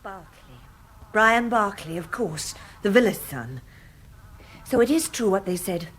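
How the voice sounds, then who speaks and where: A woman's voice: medium pitch, animated, a little slow, fairly bright tone. An actress in a radio drama playing an indignant, distressed Englishwoman, with an English accent. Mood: shocked. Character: indignant, distressed Englishwoman confronting her brother.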